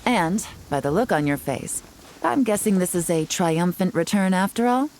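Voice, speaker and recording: Woman playing a low-voiced, cool female strategist. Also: animated, darkish tone, a little hiss or hum, lightly acted. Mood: wry. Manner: measured, knowing, cautious. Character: cool, calculating female strategist, composed and shrewd. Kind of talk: video game voice acting.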